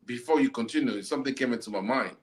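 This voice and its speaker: A man co-hosting a casual football chat, animated and laughing, often mimicking people in quotes. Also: mid-range voice, fast. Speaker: podcast co-host.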